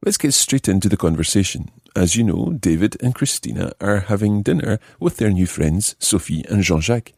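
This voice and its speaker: A man presents a language lesson in a low, husky voice with lively intonation. He explains phrases step by step and addresses the listener directly as a teacher. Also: normal speed, few pauses, no room sound, faint music behind. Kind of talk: clear scripted teaching narration, switching between languages.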